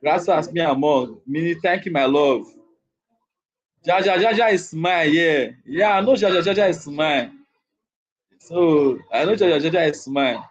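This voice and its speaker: A man's voice: a little high, normal speed, lo-fi sound. A man from Nigeria with a Nigerian accent, hosting a casual livestream chat and repeating his phrases over and over. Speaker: livestream host.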